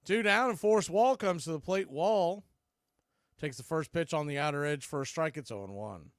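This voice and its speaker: A man's voice: on the high side, moderate pace, no room sound, studio quality. A man calling live baseball play-by-play for radio in clipped, punchy phrases. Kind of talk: live radio sports commentary.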